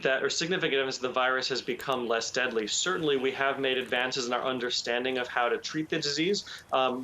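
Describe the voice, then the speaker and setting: A man being interviewed on the news as a public health expert, explaining in a measured way with frequent ums and you knows. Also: medium pitch, pushed and bright, a little hiss or hum, rough recording.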